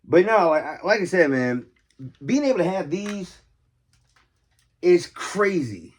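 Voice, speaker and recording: A man's voice: sits high, sing-song, measured pace, husky. An American man hosting a casual, unscripted vlog as a toy collector, talking in a rambling, conversational way and often repeating himself. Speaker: toy collector and video host.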